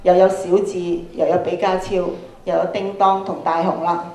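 A woman's voice: fairly low pitch, flat delivery, moderate pace, warm tone. A woman with a Hong Kong Cantonese accent giving an interactive talk to schoolchildren, prompting them with frequent questions. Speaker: workshop speaker, radio programme producer. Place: large school auditorium, indoors. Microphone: handheld microphone, filmed from audience. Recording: echoey hall sound from PA.

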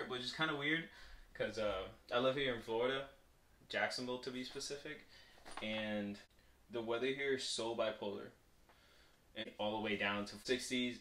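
A young man in his twenties with a low voice talks casually, as if to followers on a home vlog. He comes from Puerto Rico and speaks in an informal, everyday way. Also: pushed and bright, a little hiss or hum. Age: 20s. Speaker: vlogger. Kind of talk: casual vlog chat and product review.

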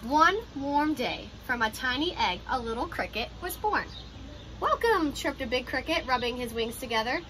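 A young adult woman reading a children's picture book aloud in an expressive, child-directed way, like a teacher. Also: sits high, normal speed. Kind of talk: children's storybook read-aloud. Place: outdoors on a porch by glass doors. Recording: ambient outdoor noise behind voice.

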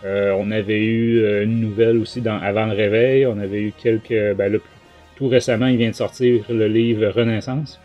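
A man's voice: on the low side, flat delivery, normal speed, lo-fi sound, faint music behind. A man with a Quebec French accent hosting a casual book review podcast, talking in a hesitant way. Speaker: podcast host.

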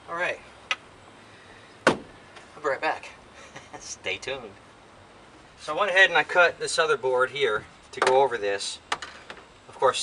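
An older American man talks casually to himself as he works on a DIY job. His voice sits at an average pitch and his delivery is folksy and rambling. The camera mic is some way off in an open van, with strong noise around him. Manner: folksy, rambling, talking to himself while working. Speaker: DIY vlogger. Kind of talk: casual chat while doing a hands-on job. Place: inside open cargo van beside a garage, outdoors. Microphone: camera a few feet away. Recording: distant camera mic, loud noise, clicks.

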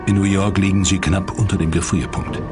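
A man's voice: deep voice, little pitch movement, fairly quick. A man reading scripted documentary narration. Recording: loud music under the voice. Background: loud background music.

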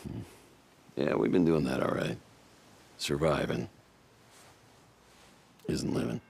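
An actor playing a weary, cynical American biker with a gravelly voice, in a naturalistic video game scene. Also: male voice, rumbling low, big pitch swings, takes it slowly, darkish tone, exaggerated voice. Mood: wry, reflective. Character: weary, burly, cynical biker, loyal old friend. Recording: faint background noise.

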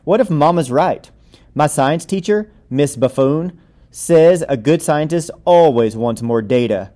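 A man reading a children's picture book aloud as a storyteller, in an expressive, playful way. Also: a little high, unhurried.

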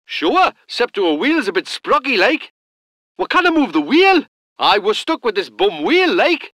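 A man voicing a gruff, salty self-propelled gun crewman, delivering game unit lines. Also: very high voice, very animated, measured pace, fairly bright tone, no echo, heavily acted. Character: gruff northern British male gun crewman. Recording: dry close studio recording.

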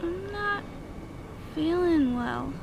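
A dreamy, childlike teen girl played by a woman in an amateur game dub, extremely high and cutesy. She speaks slowly, under heavy train noise. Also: expressive, gravelly, exaggerated voice. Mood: sleepy. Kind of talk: amateur video game fandub acting. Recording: very noisy amateur recording, train rumble.

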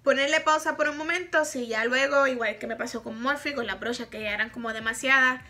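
A woman's voice: high voice, brisk, bright, forceful tone. A woman in her thirties from Puerto Rico with a Puerto Rican accent, chatting frankly in a makeup review vlog and laughing often. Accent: Puerto Rican Spanish. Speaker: makeup vlogger. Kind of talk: casual product review. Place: bedroom, indoors, small.

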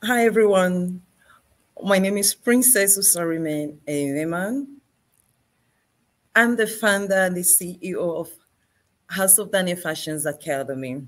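A woman's voice: lowish voice, unhurried, long pauses. A middle-aged woman with a Nigerian accent, speaking as an interview guest on a video call. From Nigeria, Benin City. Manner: laughs easily while talking. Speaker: guest, fashion designer. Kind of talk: interview storytelling. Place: indoor fashion showroom with mannequins.

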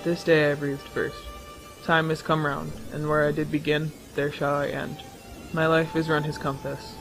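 A man's voice: on the high side, flat delivery, normal speed, vocal fry, music in the background. A man playing a proud, despairing Roman general in a declamatory radio Shakespeare drama. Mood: grim resolve. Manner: declamatory verse delivery. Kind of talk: old-time radio Shakespeare acting.

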